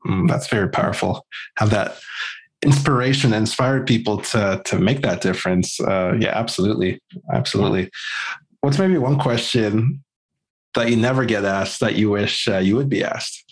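A man's voice: fairly low pitch, moderate pace, breathy edge, low-quality recording. A man hosting a podcast, affirming his guest and asking questions with hesitant fillers. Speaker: podcast host. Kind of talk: casual interview questions.

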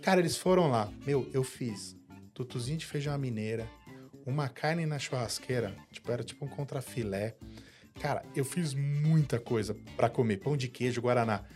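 A man from Brazil co-hosting a casual podcast chat, telling lively stories and acting out the people in them. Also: mid-range voice, moderate pace, soft background music. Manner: animated storyteller, acts out quoted voices. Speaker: podcast co-host.

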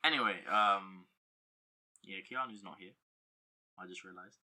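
A man's voice: low voice, measured pace, bright, forceful tone. A young man, joking around in a casual podcast chat with friends. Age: young adult. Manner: joking, puts on silly accents. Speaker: podcast co-host.

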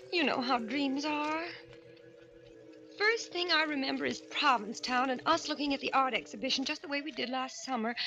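An actress playing an anxious young wife rattled by a nightmare in a 1940s radio drama, breathless, fretful and rambling. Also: female voice, sits high, bright, forceful tone, a little hiss or hum, exaggerated voice. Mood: frightened, dreamy. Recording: muffled old-time radio recording, music behind.

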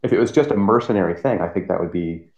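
Guy late 40s on a podcast, lowish voice, stumbles and repeats words, muffled roomy sound. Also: animated, fast, a little mellow. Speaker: podcast guest, freelance writer. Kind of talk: casual interview chat. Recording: dull, echoey remote-sounding recording.

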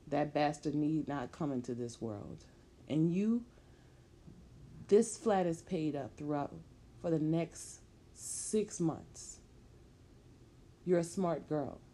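A woman's voice: deep voice, warm tone. A woman voicing an angry, wealthy male tradesman who speaks harshly and dismissively.

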